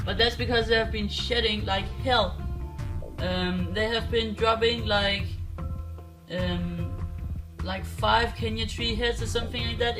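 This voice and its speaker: A man with a Danish accent, talking casually while showing his aquarium, rambling with lots of ums and restarts. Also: high voice. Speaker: aquarium hobbyist vlogger. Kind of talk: casual show-and-tell vlog. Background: music.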